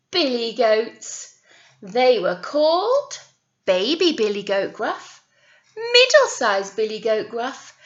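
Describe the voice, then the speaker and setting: A woman teacher reading a picture story aloud to young children, drawing out key words and pausing to ask them questions. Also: on the high side, fairly bright tone, lo-fi sound. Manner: exaggerated, drawn-out words with questions to the listeners. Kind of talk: animated read-aloud storytelling with asides to children.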